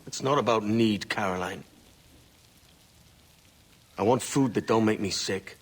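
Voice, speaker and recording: Brash, low-voiced young pirate. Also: male voice, a little slow, slightly rough. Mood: earnest, frustrated. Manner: casual, cocky, plain-spoken. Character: brash, roguish young pirate sailor hungry for fortune. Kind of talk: video game cutscene acting.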